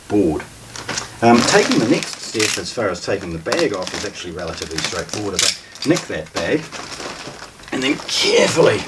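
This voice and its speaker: A man giving an unscripted product demonstration as a shop presenter, speaking in a measured, methodical way with halting phrasing. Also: on the low side. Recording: distant mic with faint room noise.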